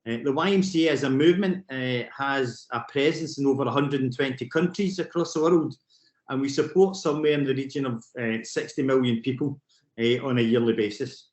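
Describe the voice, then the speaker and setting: Middle-aged Glaswegian man, remote speaker on a video call, roomy sound, fast excitable delivery. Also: mid-range voice. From Scotland. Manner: fast and excitable, with many fillers and restarts. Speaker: youth work programme director. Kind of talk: conference presentation over a video call. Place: remote, away from the venue.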